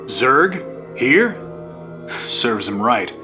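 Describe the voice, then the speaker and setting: An actor playing a blunt, sarcastic, rebellious soldier in a video game, with a plain-spoken manner. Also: male voice, mid-range voice, very animated, extremely slow. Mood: scornful. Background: music.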